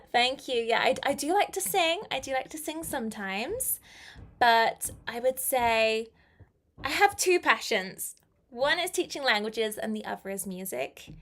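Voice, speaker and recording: A woman's voice: high voice, very animated, a little slow, fairly bright tone. A young woman, a teacher chatting casually on a live stream, answering viewers' comments and laughing often. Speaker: English teacher.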